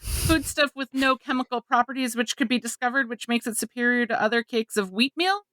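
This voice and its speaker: A woman's voice: a little high, brisk, pushed and bright, no echo, rough recording. A woman hosting a podcast, reading a scripted introduction. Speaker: podcast host.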